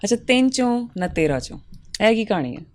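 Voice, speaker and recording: A woman's voice: fairly high pitch, a little fast. Woman host murmuring along. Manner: steady murmured acknowledgements, laughs easily. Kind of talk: interview chat.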